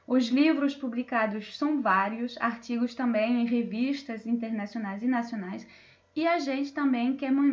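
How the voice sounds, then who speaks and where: A woman's voice: fairly high pitch, lo-fi sound. Hesitant woman moderating a video call. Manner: hesitant, many fillers and restarts. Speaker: debate moderator. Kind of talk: online panel introductions.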